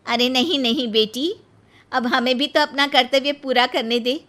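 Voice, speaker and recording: A woman's voice: high-pitched, normal speed, a bit bright, voice pushed up. A woman voicing a kindly but firm mother-in-law in a moral story, with a motherly, insistent manner. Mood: coaxing. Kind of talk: animated moral-story acting.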